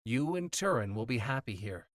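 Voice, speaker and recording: A man voicing a reserved, protective knight who speaks in a low, measured way. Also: big pitch swings, no echo, clean studio sound, natural voice. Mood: grave.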